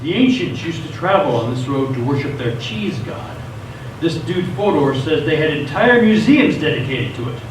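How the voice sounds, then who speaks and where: A man acting as a cocky teen boy livestreamer with a high, animated voice. He talks to his viewers in showy slang, all bravado over hurt, in a radio play recorded in a very echoey space. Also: moderate pace, close to an everyday voice. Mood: eager tour guide. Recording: echoey stage, distant mic, noisy.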